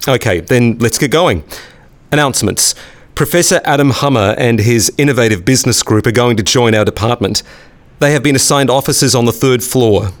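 An actor playing a businesslike department head chairing a meeting in a radio play, brisk, procedural and officious. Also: male voice, mid-range voice, close to an everyday voice.

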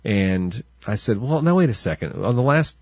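A man's voice: on the low side, lively intonation, moderate pace, a little mellow, breathy edge, no echo, studio quality. A man co-hosting a casual car podcast who rambles through his points with restarts and chuckles. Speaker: podcast co-host. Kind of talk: casual banter.

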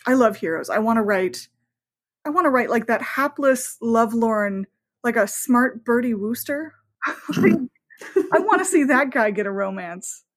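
A woman's voice: a little high, expressive, measured pace. A woman talking casually as a podcast guest, informal and effusive, with emphatic asides. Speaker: podcast guest, romance novelist. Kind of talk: casual, chatty podcast interview.

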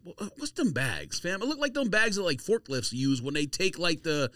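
A man with an American accent and a fairly high, bright voice that moves around a lot in pitch. He banters casually in a dry studio, skeptical and quick to contradict in a teasing way. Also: big pitch swings, no echo. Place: indoor podcast studio.

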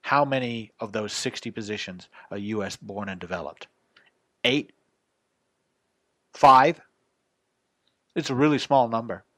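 Male podcast co-host, dry close mic, halting and self-deprecating, often restarts. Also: mid-range voice, a bit bright, no room sound. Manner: hesitant, stammering, self-deprecating. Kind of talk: casual podcast chat.

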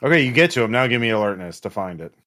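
A man running a tabletop horror game as game master, narrating casually and haltingly with sudden exclamations. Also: mid-range voice, very animated, bright, forceful tone.

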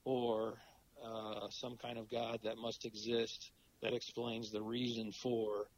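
A middle-aged man with a cold and a raspy voice, talking as a podcast guest in a halting way with many fillers. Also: lowish voice, monotone, a little hiss or hum, low-quality recording. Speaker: podcast guest, philosophy professor. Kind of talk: casual interview talk.

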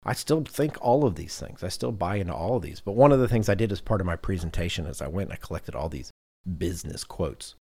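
Very deep-voiced man hosting a podcast. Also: animated, quick pace, darkish tone, husky. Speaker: podcast host. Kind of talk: casual conversational podcast talk.

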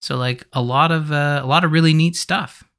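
A man hosting a podcast in casual conversation, talking in an animated, rambling way as he thinks aloud. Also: fairly high pitch, moderate pace. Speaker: podcast host.

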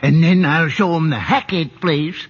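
A sly old country salesman and father, high and husky, drawling with folksy patience. It is a 1940s radio drama on a dull old recording. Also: measured pace. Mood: sly, unhurried. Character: sly old rural father selling real estate.

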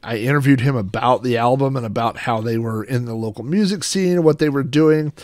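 A man's voice: mid-range voice, brisk, darkish tone, no room sound, studio quality. A middle-aged American man hosting a casual show-and-tell, reminiscing in a rambling, anecdotal way. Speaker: host of a personal collection show.

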